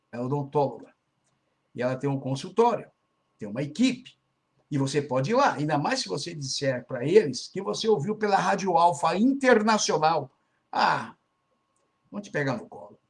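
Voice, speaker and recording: A man's voice: on the high side, gravelly. A man hosting a church radio broadcast, greeting listeners and making announcements in a casual, chatty way, often tagging phrases with a questioning "né?". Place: Brazilian church community in Florida.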